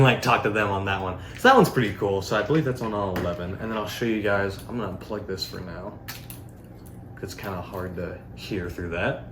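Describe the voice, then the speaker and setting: A man with a fairly low voice talks through a product demo in a loose, informal ramble. His delivery is casual and unscripted. The room is noticeably echoey, with faint noise behind him. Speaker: product reviewer. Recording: roomy echo, faint background noise.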